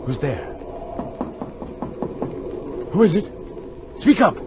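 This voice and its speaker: An actor playing an earnest, uneasy gentleman friend who narrates a gothic horror tale, grave and theatrical in 1940s radio style. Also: fairly high pitch, huge pitch swings, takes it slowly, noisy background, exaggerated voice. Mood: alarmed. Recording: muffled 1940s radio recording with music.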